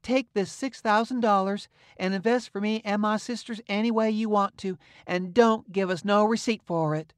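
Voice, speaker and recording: A man's voice: high voice, steady tone, moderate pace, hardly any pauses, clear, resonant tone, no room sound, voice pushed up, lightly acted. A man doing a proud, earnest young woman. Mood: resolute.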